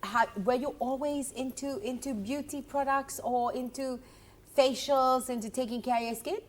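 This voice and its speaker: A woman's voice: high voice, fairly even intonation, moderate pace. A woman hosting a casual radio interview, switching between Creole and English as she chats with her guest. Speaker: radio show host.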